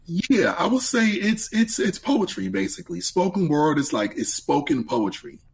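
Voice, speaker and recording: A man with a raspy voice, chatting in an easygoing, friendly way as a guest on a podcast interview. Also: sits high, big pitch swings, moderate pace. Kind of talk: casual interview chat.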